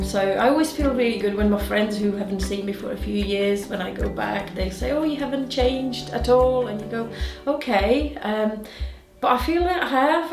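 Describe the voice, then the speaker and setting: A woman with a Czech accent, speaking at a middle pitch and telling lively stories. Her voice carries echo and sounds far off the mic, with music underneath. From Czech Republic. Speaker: interviewee. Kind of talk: personal anecdote. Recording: roomy, distant mic, music behind.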